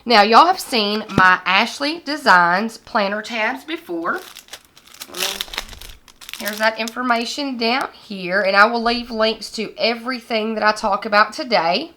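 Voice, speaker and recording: A young woman, hoarse and scratchy from a recent illness, chatting casually as she shows planner supplies to the camera at her desk. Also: a little high, measured pace. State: hoarse, recovering from lost voice. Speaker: planner vlogger. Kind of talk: casual product haul vlog. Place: indoors at a desk with lighting kit.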